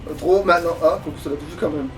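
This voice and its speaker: A man's voice: a little high, fast, soft background music. A man chatting casually and swearing in slang as he plays a video game, recorded on a slightly distant mic. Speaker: gamer playing while commenting. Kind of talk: casual let's play chat.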